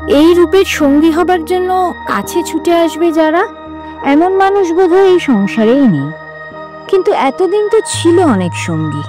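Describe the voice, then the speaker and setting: High-pitched, expressive woman storyteller. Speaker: story reader. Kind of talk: scripted literary audiobook narration.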